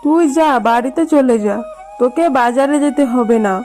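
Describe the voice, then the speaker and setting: A caring, practical mother fox from a children's cartoon, played by a woman. The voice is high and motherly, explaining things earnestly. Music plays clearly underneath. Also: normal speed, warm tone, close to an everyday voice. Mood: instructing, caring.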